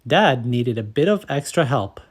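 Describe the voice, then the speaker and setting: A man narrating a children's storybook as host, in a measured, expressive read-aloud manner. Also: mid-range voice, husky. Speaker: storybook reader and channel host.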